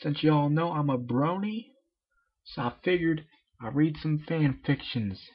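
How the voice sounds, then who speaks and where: A man's voice: on the high side, unhurried, slightly rough, dull audio. A young man reading fan fiction informally, with jokey, self-deprecating asides. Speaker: amateur fan fiction reader.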